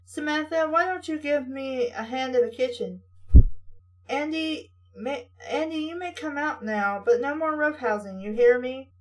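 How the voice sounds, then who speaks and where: A woman's voice: high voice, measured pace, far from the mic, rough recording. A woman reading the part of a harried, wry aunt with a weary, firm, motherly manner in a storybook. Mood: brisk, firm.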